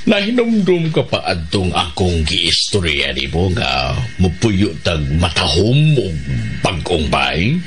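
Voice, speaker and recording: Low, slow-talking family patriarch. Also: male voice, huge pitch swings, noisy background, exaggerated voice. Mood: earnest, announcing. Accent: Cebuano Filipino. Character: affectionate, commanding male head of household. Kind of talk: radio drama acting.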